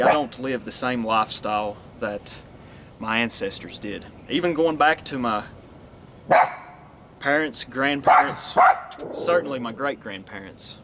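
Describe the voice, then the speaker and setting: A man's voice: medium pitch, measured pace, long pauses, sharp tone, slight background noise. A man from Northeast Tennessee, talking earnestly in a rambling monologue that restarts and trails off. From Northeast Tennessee, USA. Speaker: vlogger. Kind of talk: casual monologue to camera.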